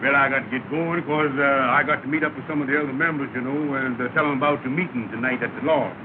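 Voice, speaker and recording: An actor playing a scheming lodge leader, persuasive and grandly wordy, in a 1930 comedy film. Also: male voice, medium pitch, steady tone, fast talker, lightly acted. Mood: brisk. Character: smooth-talking, scheming lodge leader, a small-time swindler. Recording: tinny, noisy 1930 film soundtrack.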